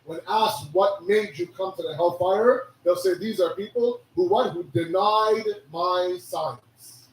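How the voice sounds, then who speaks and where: A hoarse man giving a sermon, preaching with animated rhetorical questions. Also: sits high, slow, slight background noise. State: hoarse, losing his voice. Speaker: religious speaker. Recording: echoey hall from a distance.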